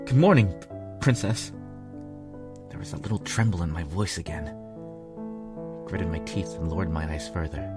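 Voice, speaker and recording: Very deep male story narrator. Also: normal speed, over music. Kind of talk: dramatic first-person story narration.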